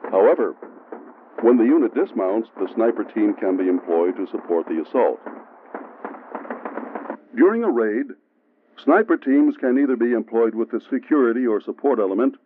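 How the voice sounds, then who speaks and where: A man giving steady, measured scripted narration for a military training film. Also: medium pitch, a little hiss or hum. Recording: tinny phone-like sound from an old training film, faint music.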